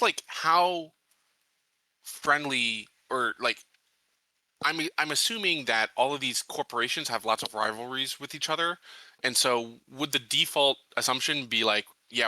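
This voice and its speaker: A man with a very bright, forward voice speaks as a player in a tabletop game. He is casual and a bit tentative, feeling his way through phrases. The sound is dry and free of room echo. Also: medium pitch, moderate pace. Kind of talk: casual chat.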